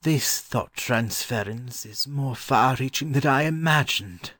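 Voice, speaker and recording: A man voicing a courteous, bookish gentleman in a storybook, hesitant and puzzled. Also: medium pitch, slow, no room sound, studio quality, voice pushed up. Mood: uneasy, musing. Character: mild, bemused, bookish learned gentleman.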